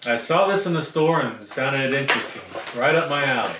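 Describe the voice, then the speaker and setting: A man's voice: medium pitch, animated, a little fast, distant mic. Man chatting in a very noisy, echoey room. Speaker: podcast co-host. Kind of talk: casual beer-tasting chat. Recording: very noisy, roomy, off-mic.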